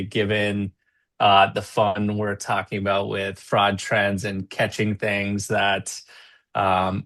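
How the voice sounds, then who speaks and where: A man's voice: on the low side, fairly bright tone. A man hosting a webinar over a video call, chatty and upbeat with plenty of fillers. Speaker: webinar host.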